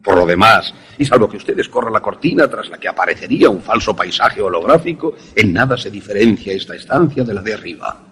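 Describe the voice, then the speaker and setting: A man playing a courteous, eccentric scientist in a suspense radio play, formal and deliberate as he explains his invention. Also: on the low side, lively intonation, fairly bright tone.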